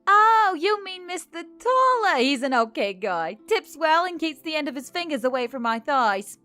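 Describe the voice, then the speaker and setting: Woman voicing a high, bubbly, cheeky elf waitress. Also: very animated, normal speed, pushed and bright, faint music behind, exaggerated voice. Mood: bubbly, chirpy. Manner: perky, sassy, gossipy.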